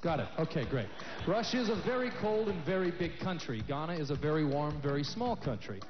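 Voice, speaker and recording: A man's voice: a little high, expressive, a little fast. A man hosting a live pageant on a large stage, asking contestants questions with a handheld mic in an upbeat, jokey manner. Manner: upbeat, jokey, announcing names. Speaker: pageant host. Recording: muffled echoey broadcast with music and crowd.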